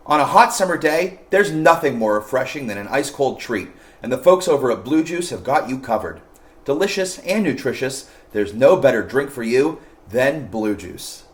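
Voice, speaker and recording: Young man in a playful announcer voice. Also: fairly high pitch. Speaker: show host. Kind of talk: mock late-night show sign-off with fake ad.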